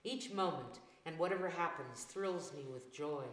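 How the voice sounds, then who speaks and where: A woman in her sixties reading poetry aloud to a live room, phrasing it deliberately with pauses between lines. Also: sits low. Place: church meeting room with tiled floor, indoors. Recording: roomy, reverberant hall sound.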